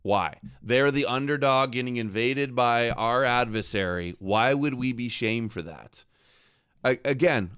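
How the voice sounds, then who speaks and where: A man's voice: medium pitch, a bit bright, smooth. A man hosting a live reaction show, talking back to a clip in a sarcastic, mocking, argumentative way.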